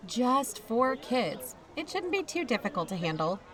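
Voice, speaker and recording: A woman's voice: a little high, sing-song, a little slow, a bit bright. A woman playing a casual, wry young aunt who tells her own story in an audio drama. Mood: reflective, wry. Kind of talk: first-person audio drama narration and dialogue.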